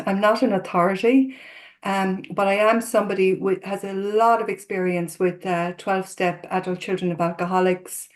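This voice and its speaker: Irish woman, middle-aged, earnest, open, speaking over a video call, mid-pitched voice. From Ireland. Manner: earnest, open and self-disclosing. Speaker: therapist and guest speaker. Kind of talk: talk to an online recovery group.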